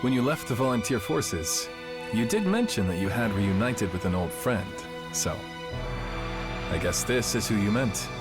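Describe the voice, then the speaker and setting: An actor playing a friendly, sincere leader of a volunteer army in a game cutscene. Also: male voice, fairly low pitch, expressive, moderate pace, loud music behind the voice, natural voice.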